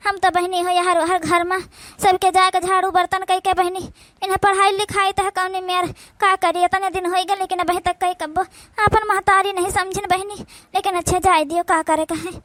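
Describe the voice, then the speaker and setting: A very high, bright cartoon voice, acted by a woman, playing a weary village stepmother with an Awadhi accent. She is plaintive and devoted, coaxing with endearments. The recording is close and dry. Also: dry recording. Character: weary, loving village stepmother, tearfully devoted to her daughter. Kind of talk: village cartoon comedy-drama acting.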